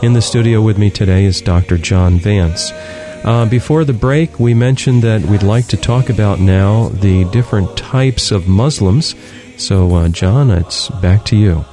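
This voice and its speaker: A man's voice: fairly low pitch, a little slow, over music. A man hosting a radio talk show from a studio, introducing his guest and asking short questions.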